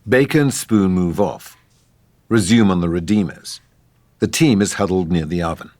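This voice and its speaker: Low-voiced man narrating a script. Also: moderate pace, a little mellow. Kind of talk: scripted narration of stage directions.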